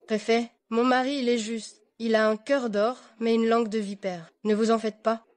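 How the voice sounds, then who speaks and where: An actress playing a polite young wife in a dubbed melodrama, mildly exasperated and eager to placate. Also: female voice, fairly high pitch, flat delivery, moderate pace, darkish tone, no echo, natural voice. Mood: embarrassed, placating.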